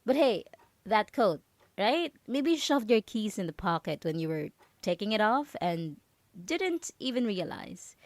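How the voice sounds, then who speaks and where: A woman playing a possessive yandere roommate in an ASMR roleplay, coaxing and halting, with nervous, feigned innocence and false sweetness. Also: medium pitch, sing-song, darkish tone, no echo. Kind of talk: ASMR roleplay audio drama.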